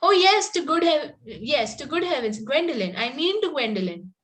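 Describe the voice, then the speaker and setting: A woman playing a sweetly barbed young Victorian girl in an amateur table read, polite and slightly halting. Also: high-pitched, sing-song, fairly bright tone. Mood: polite, pointed.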